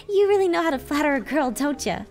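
A woman voicing a shy, excitable high school freshman girl with a girlish, giggly, hesitant delivery in an animated roleplay. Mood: eager, giggly.

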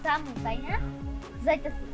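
Young bike thief played by an actor, very high-pitched, exclamatory, pushy yet cowardly, over music. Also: normal speed, fairly bright tone. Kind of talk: amateur comic short-film drama. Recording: music behind the voice.

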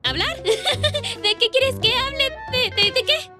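A woman voicing a cheerful, talkative young girl baker in a dubbed cartoon, rambling quickly when flustered. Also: very high-pitched, expressive, exaggerated voice. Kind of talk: Spanish cartoon dub. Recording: studio voice with music underneath.

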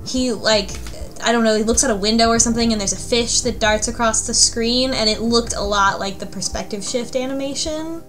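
Podcast host, female, higher-pitched, joking recap style, music playing behind. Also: a little fast, fairly bright tone. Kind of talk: casual group discussion of a game.

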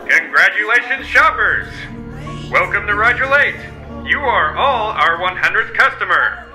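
A man's voice: very animated, unhurried, music in the background, poor audio. An actor playing a slick, menacing alien robot henchman who talks like a showy salesman in a campy sci-fi audio drama. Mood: salesy. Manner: showy announcer sales pitch.

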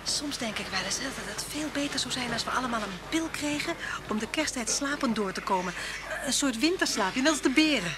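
An actress playing a talkative, forthright, friendly woman passenger in a radio play, with a wry, expressive delivery. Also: fairly high pitch, a little fast, hardly any pauses, natural voice. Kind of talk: Dutch radio play acting.

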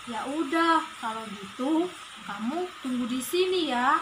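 Children's puppet show: protective young monkey, woman performer, high, slow, childlike, noisy recording. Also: expressive. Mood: caring. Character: kind, helpful young monkey, protective friend.

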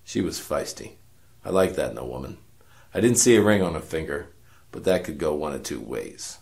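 Man playing a gravelly, low hard-boiled detective narrator. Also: normal speed, heavily acted. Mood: wry. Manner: wry, self-important, deadpan. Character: cynical world-weary hard-boiled male detective narrating his case. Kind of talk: noir parody radio drama narration.

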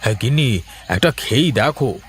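A man playing a simple, good-natured village pumpkin seller in a cartoon, with folksy drawn-out exclamations. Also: medium pitch, expressive, close to an everyday voice. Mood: delighted. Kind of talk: cartoon moral-story voice acting.

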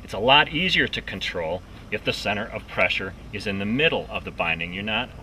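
A man coaches water skiing from a lakeside dock, walking through each step as he shows the ski. His voice sits low but sounds bright. It reaches a distant camera mic over faint outdoor noise. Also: lively intonation, fairly quick. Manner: explains step by step, demonstrating with the ski. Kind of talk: outdoor instructional demo.

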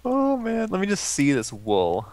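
A man's voice: high voice, huge pitch swings, a little mellow. Chatty guy on a headset playing games, teasing, voice swings up a lot. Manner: chatty, teasing, quick to laugh. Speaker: let's play co-host. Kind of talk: casual gaming chat.

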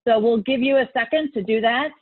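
A woman's voice: on the high side, brisk. An American woman in her forties moderating an online event, explaining instructions to listeners. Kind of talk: explanatory call to action. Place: bright room at home, on video call. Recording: thin, phone-like video call audio.

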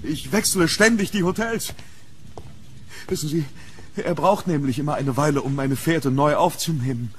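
Casting: male actor for a hunted gentleman in horror audio drama, fearful and urgent, slightly high voice, faint noise behind. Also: moderate pace, lightly acted. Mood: uneasy. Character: hunted, fearful English gentleman haunted by a demon.

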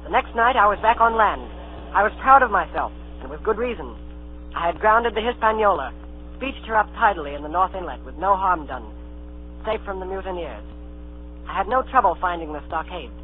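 A man's voice: high-pitched, expressive, a little fast. An actor playing a proud, plucky boy adventurer, telling his tale earnestly in old radio drama style. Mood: earnest, suspenseful. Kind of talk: 1930s radio drama acting. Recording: tinny old radio broadcast, music, hum.

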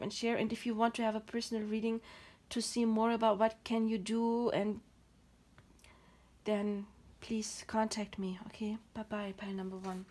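A woman with a German accent giving a pick-a-card tarot reading in a gentle, reassuring, explanatory way, her throat dry and her voice a little tired. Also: a little high. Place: home, at a table. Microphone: camera over the table, speaker off screen.

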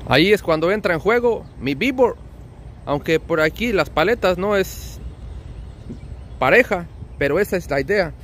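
Mexican male trucker vlogger: high register, very varied intonation, friendly colloquial explainer, light outdoor noise. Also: expressive, normal speed, a bit bright. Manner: friendly, colloquial, with diminutives and slang. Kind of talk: casual explanatory vlog. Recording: outdoor ambient and vehicle noise.

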